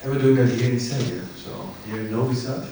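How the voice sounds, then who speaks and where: A man's voice: mid-range voice, brisk, gravelly, dark tone. A middle-aged man from the Netherlands, introducing himself as a conference panelist. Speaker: panelist, manufacturing director. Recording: distant echoey hall microphone, faint noise.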